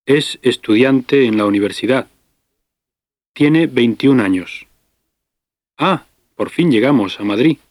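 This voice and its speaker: A man in his fifties playing a doctor travelling with his family, speaking clearly and carefully in a scripted language-lesson dialogue. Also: medium pitch, expressive, no echo, clean studio sound. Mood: calm. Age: 50s. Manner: clear, careful, deliberate delivery. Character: fifty-year-old male doctor on family trip.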